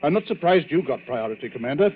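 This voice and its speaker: On a muffled old radio recording with faint noise, a man plays a dry, grumbling male tycoon. His delivery is curt and sardonic, with very varied pitch, in 1940s drama style. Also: expressive, fast talker, natural voice.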